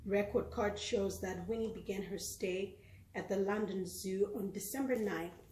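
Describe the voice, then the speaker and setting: A woman reading a children's story aloud as a tutor, with expressive, deliberate phrasing. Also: mid-range voice. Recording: echoey room, clear background noise, clicks.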